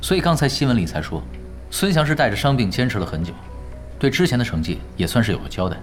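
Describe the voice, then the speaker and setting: A male actor plays a composed former champion gamer in a radio drama. He explains things dryly and matter-of-factly in a mid-pitched voice with lively intonation, over faint music. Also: fast, lightly acted. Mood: calm analysis. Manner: matter-of-fact, dry, explanatory. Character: composed, dry-witted ex-champion esports strategist, man. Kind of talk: Chinese radio drama acting.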